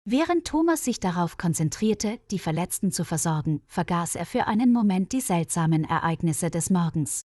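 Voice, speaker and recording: A woman reading a scripted story as narrator, in a measured, clearly enunciated way. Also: fairly low pitch, lively intonation, dark tone, dry recording, studio quality. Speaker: audiobook narrator of a German learners' story.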